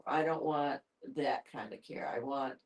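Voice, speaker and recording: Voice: medium pitch, steady tone, unhurried. An older psychologist thinking aloud in a reflective group discussion, hedging along the way. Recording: distant microphone.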